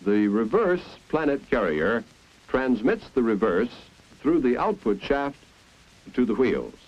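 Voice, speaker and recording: An American man reading scripted 1950s instructional film narration in a clear, deliberate, explanatory manner. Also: mid-range voice, big pitch swings. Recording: dull, band-limited 1950s film soundtrack.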